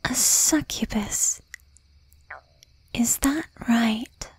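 A woman voicing a jealous, possessive young demon girlfriend in a breathy, intimate whisper with pointed pauses, for an ASMR roleplay. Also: on the high side, heavily acted. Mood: suspicious, probing.

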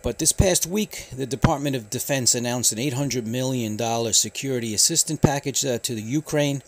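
A man from the United States hosting a radio hobby channel, giving a casual news update in a halting, informal way. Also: mid-range voice. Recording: faint background noise.

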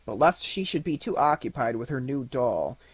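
A man's voice: medium pitch, animated, moderate pace, slightly rough, no room sound. A man reading an audiobook, giving scripted storybook narration. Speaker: audiobook reader.

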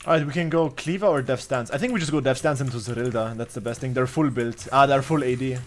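A man's voice: mid-range voice, fast, soft background music. A man in his twenties streaming a game live, thinking aloud in a profane, offhand way. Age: 20s. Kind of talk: live gameplay commentary. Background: faint background beat.

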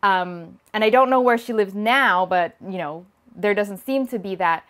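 A woman in her thirties from Thailand, hosting a cooking channel and answering viewer questions in a relaxed chat from her home kitchen. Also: medium pitch, a little slow. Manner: lively, talks with her hands, laughs often. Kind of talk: casual Q&A vlog. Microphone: small lapel mic.

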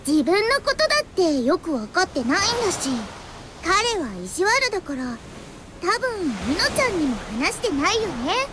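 Otherworldly anime-game girl: very high voice, playful yet solemn, animated, over noisy ambience. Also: moderate pace. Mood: teasing, knowing. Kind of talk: anime video game voice acting.

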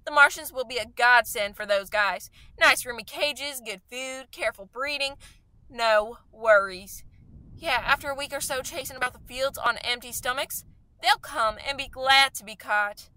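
A woman's voice: high-pitched, moderate pace, pushed and bright, dry recording, rough recording. A woman playing a fervent, scheming artilleryman survivor in a radio play, talking in an insistent, slangy, folksy way. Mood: scornful, bitter.

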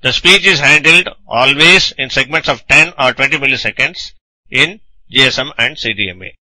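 A man's voice: mid-range voice, normal speed, pushed and bright, no room sound, rough recording. A man narrating a scripted technical lecture in a didactic manner, posing questions and then answering them.

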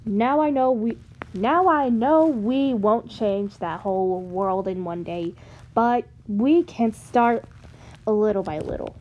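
A woman's voice: medium pitch, slow pace, muffled recording, slight background noise, natural voice. A woman voicing an eager male inventor, insistent and grandiose, in an amateur comic dub. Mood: scheming. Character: eager, commanding male inventor, robot's creator.

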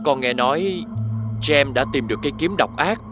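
Cartoon conspirator prince, male actor: slightly high voice, falsely dutiful, sly, accusing, music underneath. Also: animated, moderate pace, close to an everyday voice. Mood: falsely sincere. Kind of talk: dubbed animated fairy tale.

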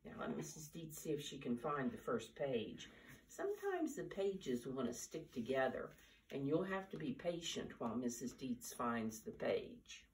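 An elderly American woman with a low voice leads storytime for small children. She speaks very slowly and simply, like a teacher, with lively rises and falls. The mic sits some distance away in a room at home. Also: a little hiss or hum. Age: 70s or older. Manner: simple, deliberate teacher-like phrasing with questions to children. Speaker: storyteller reading to preschoolers. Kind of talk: storytime talk and picture-book reading for young children. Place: living room at home, indoors. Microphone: camera a few steps away.

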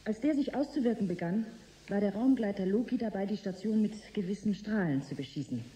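An actress playing a blunt, determined scientist in a science fiction radio drama, speaking in a cool, pointed and articulate way. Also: female voice, medium pitch, animated, a little fast, dark tone, natural voice, poor audio. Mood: accusing. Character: blunt, determined female scientist confronting corporate bosses.

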